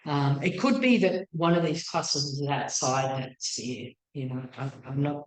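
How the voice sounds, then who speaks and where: A woman's voice: low voice, a little slow. A woman researcher giving an academic talk in a tentative, apologetic manner, her voice tiring as if she is losing it. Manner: tentative, self-questioning, apologetic. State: losing her voice, throat-clearing. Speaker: PhD researcher presenting a talk. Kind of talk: academic lecture. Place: indoor meeting room with audience. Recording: echoey room.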